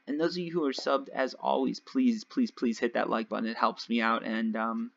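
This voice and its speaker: A young man casually commentating his gameplay nonstop, his voice a little raspy from long talking. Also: mid-range voice, fairly even intonation, moderate pace. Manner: chatty, rambling, nonstop talk with fillers. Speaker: let's play host. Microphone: home desk mic. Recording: dull lo-fi home mic.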